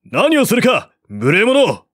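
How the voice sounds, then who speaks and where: A man voicing a stern, excitable official in a historical novel, loud and formal. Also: high voice, slow pace, breathy edge, no echo, studio quality, voice pushed up, heavily acted. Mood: outraged.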